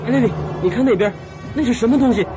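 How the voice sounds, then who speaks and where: A man's voice: extremely high pitch, racing. An actor playing an earnest, brave young man, smitten and protective, in a suspense radio drama. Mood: alarmed. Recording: dull lo-fi sound, loud music behind.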